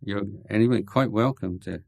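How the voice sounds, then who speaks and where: Man, Buddhist monk, low voice, dry close sound, hesitant, frank Q&A. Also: fairly quick, gravelly, darkish tone, no room sound. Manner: hesitant, full of ums and restarts, frank and conversational. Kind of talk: answering questions at a youth meditation retreat.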